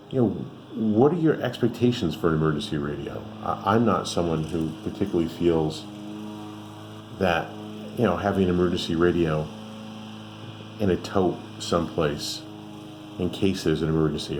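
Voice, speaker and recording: Deep-voiced man casually reviewing gear, noisy recording. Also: moderate pace, pauses often. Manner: rambling, conversational, thinking aloud. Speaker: radio reviewer and channel host. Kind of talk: casual unscripted product review.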